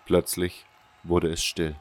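A man reading scripted first-person narration for a fan fantasy audio drama in a measured, sober storytelling manner. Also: low-pitched, completely flat delivery.

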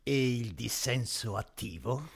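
Raspy, hesitant, insistent questioner. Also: male voice, mid-range voice, lively intonation, exaggerated voice. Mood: uneasy, probing. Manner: halting, stammering questions. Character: hesitant, insistent male questioner probing a powerful minister. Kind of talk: theatrical satirical radio play acting.

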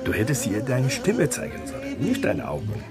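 A man's voice: medium pitch, wildly swooping pitch, moderate pace, loud music behind the voice. An actor playing a discreet, sly and courteous Japanese bartender in a radio play, polite and theatrical in his manner. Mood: teasing, confiding.

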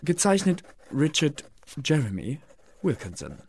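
Casting: officious male minute-taker, radio play, lightish high voice, very animated intonation. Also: unhurried, a little hiss or hum, exaggerated voice. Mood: ceremonious. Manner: officious, mannered, precise. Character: fussy, formal male clerk keeping official minutes. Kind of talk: formal document reading in radio drama.

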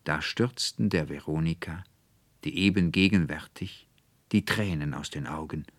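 Deep-voiced male storyteller, dry sound. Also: normal speed, dry recording. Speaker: narrator. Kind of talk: expressive literary audiobook narration.

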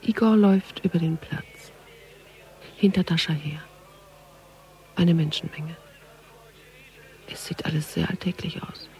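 A creaky, hushed female voice over music. She is a wry police detective in a radio crime drama, reasoning through a case in a reflective inner monologue. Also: low-pitched, unhurried, long pauses, rough recording. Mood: observing.

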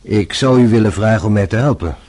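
A man acting in a radio play as a French police inspector, formal, patient and probing, with deliberate phrasing. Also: on the low side, darkish tone, close to an everyday voice. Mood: courteous, measured.

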